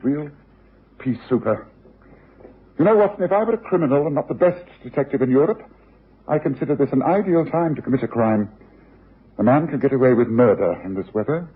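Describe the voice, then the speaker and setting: An actor playing a bored, brilliant, self-assured London detective in a radio drama, with a British accent and a husky voice. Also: male voice, medium pitch, animated, fairly quick, long pauses. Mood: bored, restless. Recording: thin, narrow-band old radio recording.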